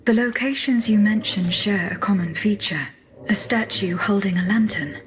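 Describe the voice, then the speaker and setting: A woman voicing a courteous, coolly determined automaton in a video game, speaking in formal, measured lines. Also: medium pitch, natural voice, rough recording. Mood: composed, factual. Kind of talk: scripted video game cutscene dialogue.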